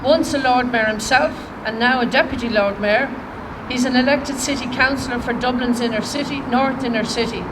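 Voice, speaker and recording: A woman with an Irish accent speaking solemnly and deliberately as master of ceremonies at a formal commemoration. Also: a little high. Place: outdoor memorial garden ceremony. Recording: distant outdoor microphone, clear background noise.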